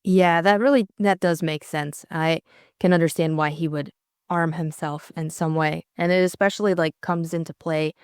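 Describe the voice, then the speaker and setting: A woman's voice: sits low, fairly even intonation, normal speed, clean tone, dry recording, studio quality. A young adult woman co-hosting a casual discussion of a classic novel. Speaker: audiobook co-host.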